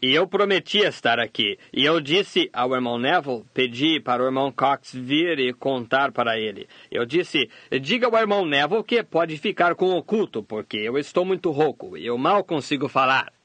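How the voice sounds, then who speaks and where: A man voicing a sermon translation, delivering it steadily and measured. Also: medium pitch, lively intonation, sharp tone, dry recording. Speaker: sermon interpreter voice-over. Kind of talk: translated sermon read aloud.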